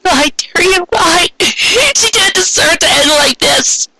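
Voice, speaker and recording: Distraught teenage anime-style heroine, played by a man in falsetto, extremely high, animated, tearful and pleading. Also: slow, creaky, music in the background, heavily acted, low-quality recording. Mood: hysterical grief. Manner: wailing, pleading, shrill falsetto. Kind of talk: over-the-top fan fiction dramatic reading.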